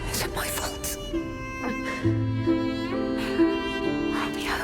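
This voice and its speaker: An actress playing a battle-worn, determined female stalker in a video game, speaking in a grave, guarded, measured way. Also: close to an everyday voice. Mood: grief-stricken. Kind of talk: dramatic video game cutscene acting.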